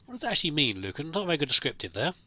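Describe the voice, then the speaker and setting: A man's voice: fairly high pitch, very animated, racing, bright, forceful tone, dry recording. A man giving casual, improvised roleplay commentary over a video game, musing and thinking aloud as he plays. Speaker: gamer, let's play host.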